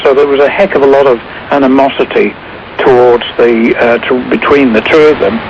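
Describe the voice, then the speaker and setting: A man giving casual music commentary as a radio guest, rambling and pausing mid-phrase. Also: mid-range voice. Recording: muffled shortwave radio with clear static. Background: shortwave static and noise.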